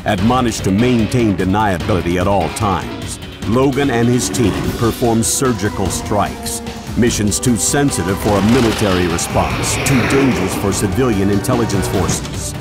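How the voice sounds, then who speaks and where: A man delivering dramatic scripted narration for a game trailer in a grave, deliberate manner. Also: fairly low pitch, animated, over loud music.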